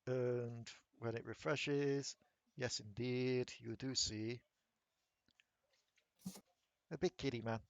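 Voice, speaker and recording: A man's voice: mid-range voice, fairly even intonation, slow pace, dry recording, muffled recording. Man as game master narrating.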